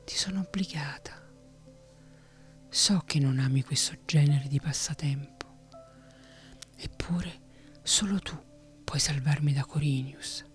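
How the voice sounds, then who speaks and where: A woman whispering the part of an ambitious, flattering noble queen in a fantasy audiobook. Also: sits low, takes it slowly, pauses often, faint music behind. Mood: coaxing.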